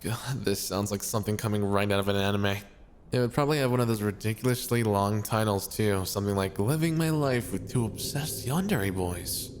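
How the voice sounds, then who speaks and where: A man playing a tall, hot-tempered, gruff yandere in an ASMR roleplay, grumbling, sardonic and threatening. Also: lowish voice, fairly quick, lightly acted. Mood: exasperated. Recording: clear background noise, ambience.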